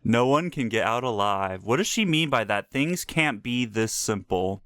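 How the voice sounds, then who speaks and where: A man's voice: medium pitch, lively intonation, moderate pace, a bit bright, smooth. A man giving casual, reactive, slangy live commentary as he streams a game playthrough.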